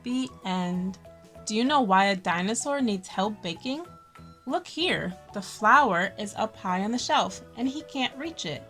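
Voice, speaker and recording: A woman's voice: medium pitch, lively intonation, unhurried, fairly bright tone, faint music behind. A woman hosting a children's story time, reading a picture book expressively with friendly asides and stressed key words.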